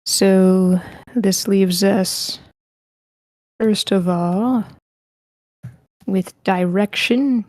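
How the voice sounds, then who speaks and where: A woman's voice: medium pitch, steady tone, extremely slow, pauses often, a little mellow, no room sound. A woman hosting an online script table read in a casual, chatty livestream style. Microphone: close mic with a sock over it, online voice call.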